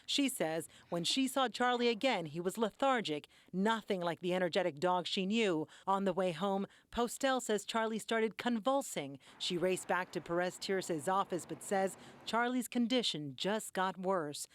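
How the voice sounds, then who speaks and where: A woman's voice: on the low side, animated, normal speed. A woman reading a scripted investigative television news report as the reporter.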